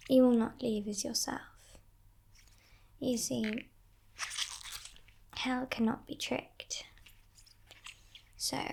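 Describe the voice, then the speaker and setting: A woman playing a sly, haughty female demon kidnapper in a whispered ASMR roleplay, breathy, teasing and condescending, coaxing with soft menace. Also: on the high side, full character voice. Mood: quiet menace. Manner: slow, teasing, condescending, softly threatening.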